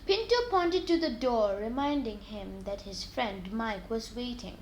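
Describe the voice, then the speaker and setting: A woman with a high voice reads stories aloud for children. Her delivery is scripted and deliberate, with expressive emphasis suited to simple moral tales. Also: slight background noise, rough recording. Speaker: children's story reader.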